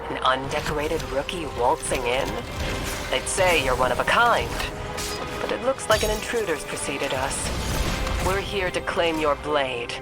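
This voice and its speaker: A composed woman mentor of a warrior order in a video game. She speaks with grave, measured authority. Loud music plays underneath. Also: low-pitched, lively intonation. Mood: grave, wry. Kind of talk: dramatic video game cutscene acting.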